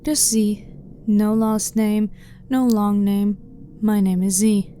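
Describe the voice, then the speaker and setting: A woman playing a blunt, curious alien scientist in a soft-spoken ASMR roleplay, speaking in a calm, clinical, slightly formal way. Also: medium pitch, slow pace, faint music behind, natural voice. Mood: calm, explaining.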